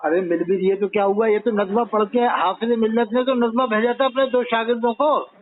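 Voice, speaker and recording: High-pitched insistent man on tinny phone line. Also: fairly even intonation, a little fast. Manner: insistent, repetitive, full of rhetorical questions. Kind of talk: argumentative phone conversation. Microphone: telephone.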